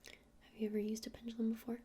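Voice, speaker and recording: A woman playing a gentle crystal-shop witch in an ASMR roleplay, whispering breathily and explaining things unhurriedly with hesitant pauses. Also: a little high, completely flat delivery. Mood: soothing, earnest. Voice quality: breathy whisper with creaky edges.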